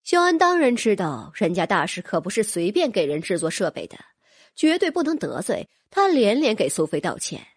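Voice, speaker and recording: A woman's voice: mid-range voice, huge pitch swings, normal speed, husky, no room sound. A woman reading a fantasy novel as scripted audiobook narration.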